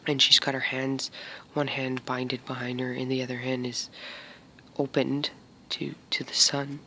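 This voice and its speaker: A man's voice: mid-range voice, monotone, measured pace, bright, forceful tone, a little hiss or hum. A man giving a casual tarot reading to his viewers. He talks hesitantly with many fillers and often drops to a whisper. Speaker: tarot reader.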